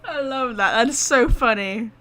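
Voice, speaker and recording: A woman's voice: on the high side, normal speed, fairly bright tone, low-quality recording. A woman streaming a game live, reacting casually in an informal, giggly, chatty way.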